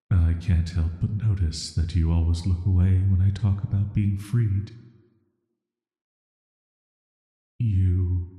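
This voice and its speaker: Guy doing an ancient cursed villain, soft n flirty but dangerous, super slow, drenched in long reverb. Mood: tender, seductive. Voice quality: soft, low voice. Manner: flat, slow, deliberate, intimate. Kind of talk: atmospheric audio roleplay, sleep aid.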